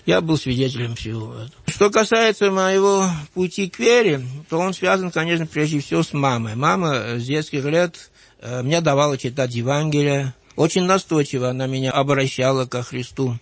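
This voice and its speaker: A man in his sixties from Georgia with a light Georgian accent, a writer recalling his life in an interview, measured and thoughtful with frequent hesitant fillers. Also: a little high, no echo. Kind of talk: reflective interview recollection.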